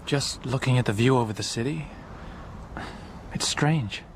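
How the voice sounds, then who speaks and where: A man's voice: medium pitch, a little slow, a little hiss or hum, natural voice. An actor playing a numb, introspective man in a radio drama, subdued and reflective, with faint ambience behind. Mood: numb, wistful.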